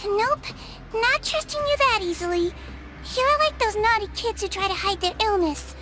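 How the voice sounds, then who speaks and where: A woman voicing a small, kindly non-human head nurse in a video game, high, sweet and gentle. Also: animated, moderate pace, faint music behind, exaggerated voice. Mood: bargaining. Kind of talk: video game cutscene dialogue.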